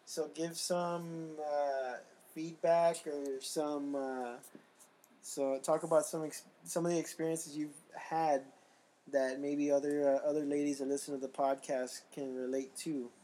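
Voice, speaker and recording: A man hosting a casual podcast, his voice a little raspy, joking and reading things aloud. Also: on the high side, level tone, measured pace, mellow, poor audio. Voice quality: slightly raspy. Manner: laughing, joking, reads aloud. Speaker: podcast host.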